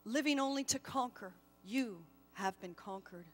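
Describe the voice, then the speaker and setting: A woman voicing a solemn, firm male angel who speaks in grave, measured admonishment in a storybook reading. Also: a little high, long reverb tail, soft background music. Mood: calm, firm.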